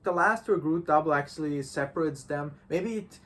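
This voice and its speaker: Casual discussion guest: man, non-native English, voice on the higher side, halting and enthusiastic. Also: a bit bright. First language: not English. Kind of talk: casual chat.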